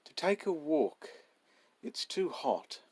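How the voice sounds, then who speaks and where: A man's voice: a little high, big pitch swings, takes it slowly, a little mellow, breathy edge, voice pushed up, exaggerated voice. A man voicing the male traveler who is the hero of a short story, in an everyday voice. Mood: casual. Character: frightened male traveler bargaining with a robber.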